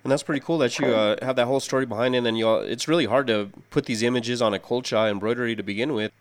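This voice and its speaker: A male radio host who talks fast in a smooth, clear voice. He asks friendly, encouraging questions and draws out his guest's stories. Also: mid-range voice. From southern Colorado. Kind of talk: interview questions.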